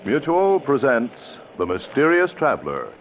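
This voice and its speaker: A man's voice: medium pitch, huge pitch swings, moderate pace. Swooping radio announcer man over organ music. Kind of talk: 1940s radio title announcement. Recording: tinny old radio recording.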